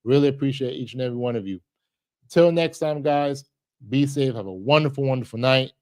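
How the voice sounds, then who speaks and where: A man's voice: medium pitch, a little fast, darkish tone, no echo. A middle-aged man hosting a casual livestream, talking earnestly to his viewers with lots of restarts and repeated thank-yous. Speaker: livestream host.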